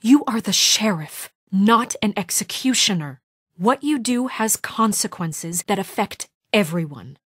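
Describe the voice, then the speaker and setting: A woman voicing a poised, stern young woman in authority in a video game drama, speaking in an earnest, measured, formal way. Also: medium pitch, animated, breathy edge, dry recording, studio quality. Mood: stern, reproachful. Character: poised, stern young woman leading a troubled town government.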